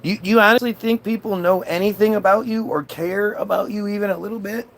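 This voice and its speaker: A man's voice: high-pitched, steady tone, fairly quick, creaky, a bit bright. A man in a casual, combative political rant, dismissive and sweary as he answers chat comments. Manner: frequent swearing.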